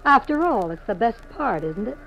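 A woman's voice: a little high, sing-song, moderate pace, a bit bright, soft background music. An actress playing a coy, taunting female crook in a 1950s radio mystery, teasing as she talks. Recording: muffled, noisy vintage radio recording.

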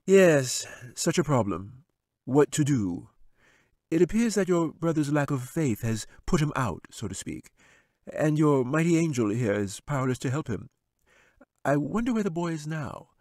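A man's voice: big pitch swings, unhurried, a little mellow, no echo, clean studio sound. A man voicing a scheming male wizard villain in a storybook reading, sly and mocking. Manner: taunting, sly, rising high.

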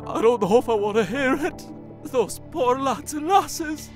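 A man's voice: very high voice, expressive, measured pace, faint music behind. A man playing a kind-hearted, anxious seaman in an audio drama. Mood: distressed.

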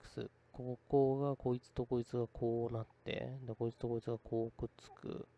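A man's voice: mid-range voice, level tone, a little fast, warm tone, lo-fi sound, a little hiss or hum. A man giving casual commentary as he thinks aloud through a game.

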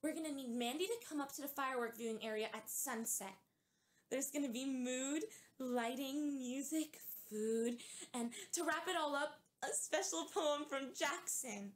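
A woman's voice: high-pitched, moderate pace, lightly acted. A woman voicing a chirpy, scheming young female fish monster in a cartoon. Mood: upbeat, plotting. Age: young adult. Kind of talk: animated cartoon acting.

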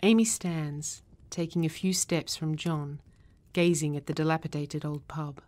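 A woman reading scripted narration and stage directions in a measured, deliberate manner. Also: deep voice, fairly even intonation, darkish tone.

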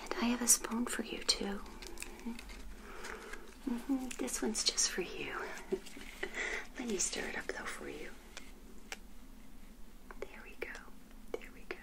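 Whispered ASMR host, older woman, friendly witch persona, gentle and hospitable, very unhurried delivery. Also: on the high side, lively intonation, gravelly. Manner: gentle, hospitable, repeats phrases softly.

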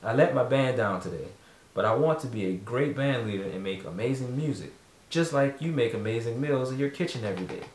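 A man's voice: medium pitch, lively intonation, a little fast, natural voice. A man reading as an earnest young bandleader boy. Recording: echoey room, distant mic.

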